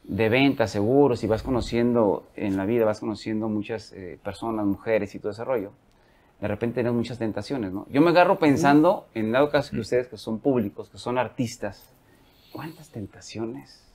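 A man's voice: lowish voice, moderate pace, darkish tone. Curious Mexican man in his forties. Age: 40s. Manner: curious, rambling, many restarts. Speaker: podcast host. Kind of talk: casual chat.

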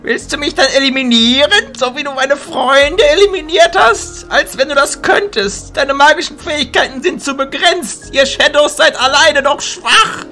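A man's voice: extremely high pitch, normal speed, hardly any pauses, sharp tone, soft background music, exaggerated voice. A man playing a spiteful, arrogant teenage boy wizard in a fantasy audio drama, mocking and contemptuous. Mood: hostile, furious.

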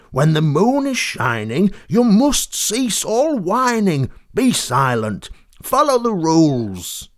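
A storyteller voicing a group of frightened, fussy cows who scold in a hushed, drawn-out way. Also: mid-range voice.

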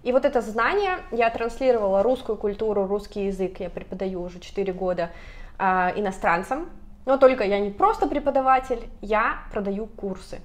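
A woman in her thirties talking to camera in a casual vlog, speaking animatedly and gesturing a lot, recorded on a lapel mic in a bright apartment room. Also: mid-range voice, normal speed. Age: 30s. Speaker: vlogger.

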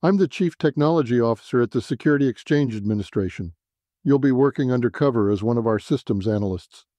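An assured woman executive from a noir science fiction story, as acted out by a male narrator. She speaks in a composed, businesslike manner, with a mellow tone and a close, dry sound. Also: mid-range voice, fast, dry recording, lightly acted. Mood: formal. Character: sharply dressed, composed professional woman, tech executive.

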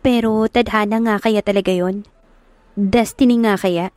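A woman voicing a soft-spoken, sensitive young working woman in a romance audio story. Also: mid-range voice, fairly even intonation, moderate pace, dry recording, lightly acted. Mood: musing. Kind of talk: acted dialogue in Tagalog romance audio story.

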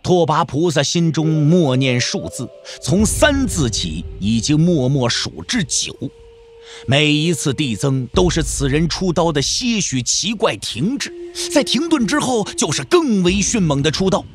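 A man with a slightly high, very animated voice narrates a martial arts audio drama. His delivery is emphatic and suspenseful, in the manner of dramatic scripted storytelling. Music plays behind him. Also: measured pace. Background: background music.

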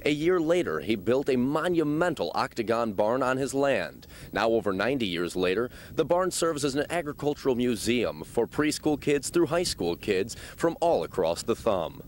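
Casting: young male reporter, animated news read, faint background hiss. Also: medium pitch, normal speed, few pauses. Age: young adult. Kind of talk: scripted news narration.

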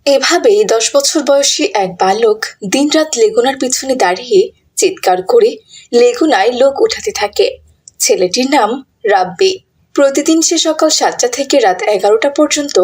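A woman narrates a children's moral story in a fairly high voice. Her delivery is scripted storytelling. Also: expressive, normal speed, breathy edge.

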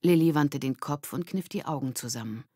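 A woman's voice: low-pitched, brisk, warm tone, husky, dry recording, clean studio sound. A woman narrating a scripted children's story as an audiobook reader.

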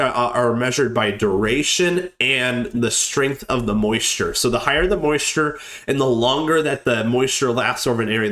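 A man's voice: medium pitch, a bit bright. A young man getting over a cold, casually walking through a weather forecast with lots of ums and restarts. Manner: rambling, many ums and restarts.

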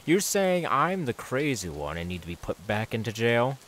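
Unhinged serial killer, wildly swinging pitch. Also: male voice, big pitch swings, normal speed, slight background noise. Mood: smug. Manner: theatrical, swinging between hushed whispers and manic outbursts. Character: unhinged gloating male serial killer, menacing, mocking, volatile. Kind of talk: ASMR horror audio roleplay acting.

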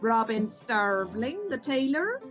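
Earnest female troupe organiser played by an actress, high pitch, unhurried, officious, Shakespearean radio play. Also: very animated, faint music behind. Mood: firm. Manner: deliberate, officious, announcing. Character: Penelope Quince, earnest woman organising amateur players.

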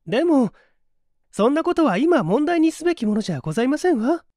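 A young gentlewoman played by a man speaks up politely but firmly in an audiobook mystery. Her voice is high and very lively, with prim, feminine phrasing. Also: a little fast, no room sound, studio quality. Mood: objecting. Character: prim, outspoken young lady, a gentleman's daughter.

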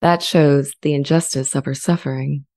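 Low, mellow female AI played by an actress. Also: steady tone. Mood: thoughtful. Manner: measured, articulate, earnest. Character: earnest, friendly, self-aware artificial intelligence language model. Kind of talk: scripted transcript dramatization. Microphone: studio condenser mic with pop filter, close.

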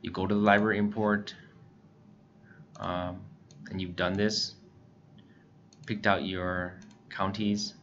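A man giving a casual software walkthrough as a tutorial presenter, speaking informally and a bit hesitantly with frequent short pauses. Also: deep voice, level tone. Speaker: tutorial presenter for a scanner retailer. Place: indoors, voice-over for screen recording. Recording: muffled, lo-fi with clear background noise.

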